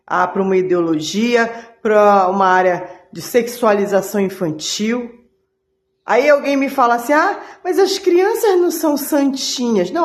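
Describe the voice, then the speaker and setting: A woman giving an earnest religious monologue as a channel host, halting often and restarting her words. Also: mid-range voice, echoey room.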